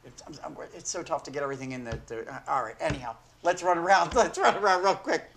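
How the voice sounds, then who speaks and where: An older man with a hoarse, failing voice hosting a sports talk show from a small studio desk, rambling and correcting himself as he goes. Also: fairly high pitch, fast talker, fairly bright tone, slight background noise. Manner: rambling, self-correcting, chuckling. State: hoarse, losing his voice.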